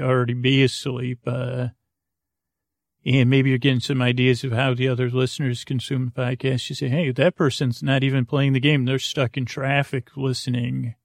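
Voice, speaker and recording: Guy doing a sleepy-time podcast, gravelly lulling voice, rambles off on tangents, really dry recording. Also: medium pitch, no echo. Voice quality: creaky, lulling voice. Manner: hesitant, digressive, full of tangents and trailing thoughts. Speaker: podcast host. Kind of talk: rambling, meandering bedtime monologue.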